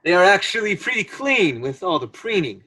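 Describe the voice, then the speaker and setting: A man playing an earnest, matter-of-fact furry beast in an irreverent radio play, with a husky voice. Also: sits high, sing-song, moderate pace, sharp tone, heavily acted.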